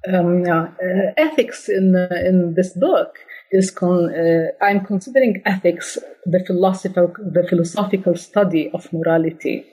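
A woman's voice: fairly low pitch, steady tone, normal speed, warm tone, husky, low-quality recording. A middle-aged woman being interviewed about her book, answering carefully with frequent restarts. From born in Slovenia, raised in Jordan. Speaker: guest author, ethics scholar.

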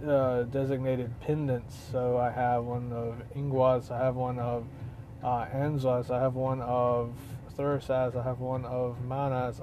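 A hoarse man giving a casual spoken lesson, halting with many fillers and clearing his throat often. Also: medium pitch, warm tone, slight background noise. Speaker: teacher of runes.

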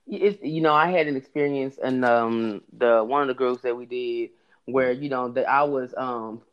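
A man with a high voice telling a story in casual chat, rambling with many restarts. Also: moderate pace, clear, resonant tone, dull audio. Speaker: podcast guest telling a story.

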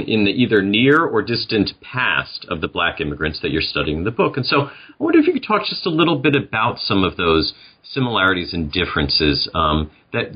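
A man's voice: sits low, slightly rough, lo-fi sound. A man hosting an interview podcast, speaking in a polite, conversational way.

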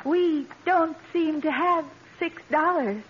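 A very high-pitched mooching girlfriend. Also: slow pace. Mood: coy. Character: mooching, stubborn, plaintive girlfriend milking a date for money. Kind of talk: 1940s radio comedy acting. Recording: muffled, hissy vintage radio recording.

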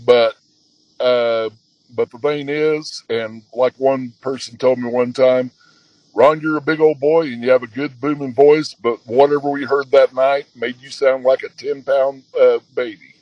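A man guest telling his story in a halting way with many fillers, a man with a booming voice. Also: fairly even intonation, no echo, dull audio. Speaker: guest, expedition leader. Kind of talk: casual storytelling.

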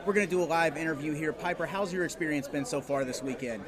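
An enthusiastic male host chats in a slightly high voice. He interviews casually at a convention booth, with clear crowd noise around him. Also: fast. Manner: enthusiastic, chatty. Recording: busy convention crowd behind voice. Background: crowd chatter.

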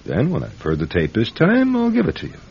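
An actor playing a rude, scheming and obsessive famous writer in a classic radio drama, brusque and domineering. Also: male voice, mid-range voice, wildly swooping pitch, fairly quick, vocal fry, a little mellow, slightly rough, full character voice. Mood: menacing. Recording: muffled old radio broadcast.

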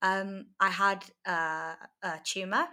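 A woman's voice: medium pitch, fairly even intonation, slow pace, bright, forceful tone. A woman in her late twenties from North Wales, giving candid, articulate and emphatic interview answers in a reflective, conversational way. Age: late 20s. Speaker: interview guest, article writer.

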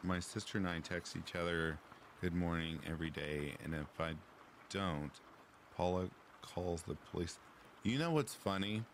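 A man with a deep, raspy voice hosting a livestream, chatting casually and answering comments from the live chat. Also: normal speed, a little hiss or hum. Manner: relaxed, chatty, reads chat aloud. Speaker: livestream host.

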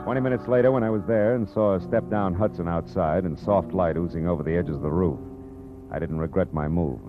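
A man's voice: low voice, fairly quick, lightly acted. A man playing a wry hard-boiled private eye. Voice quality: dry, clipped and wisecracking. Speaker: detective narrator. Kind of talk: 1940s radio acting. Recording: tinny old radio broadcast with music.

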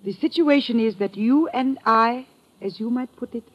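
Husky, imperious radio dragon lady. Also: a little high, moderate pace. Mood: cold menace. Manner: formal, commanding, precise. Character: imperious, elegant mysterious dragon-lady madame. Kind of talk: 1940s-50s radio drama acting. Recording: dull, muffled old radio recording.

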